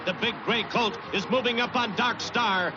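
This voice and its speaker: A man's voice: high-pitched, normal speed. An American man narrating horse races as a mid-century newsreel commentator, theatrical and urgent, with grandiose phrasing. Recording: dull old newsreel soundtrack, loud music. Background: loud music.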